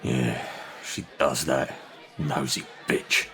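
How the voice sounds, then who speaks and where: A menacing English criminal with a working-class accent and an extremely low voice. He is gruff and curt, bullying whoever he talks to. It is audio drama acting with a surly edge. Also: fairly even intonation, slow pace, pushed and bright, some chatter behind, heavily acted.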